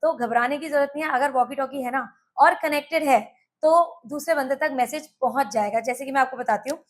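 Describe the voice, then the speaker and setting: A woman's voice: sits high, brisk. A woman with an Indian accent hosting a casual product review, explaining things step by step and speaking directly to viewers.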